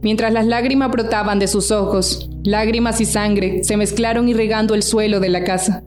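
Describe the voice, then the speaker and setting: A woman's voice: medium pitch, steady tone, normal speed. A woman reading scripted narration for a radio drama. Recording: roomy echo, faint music underneath.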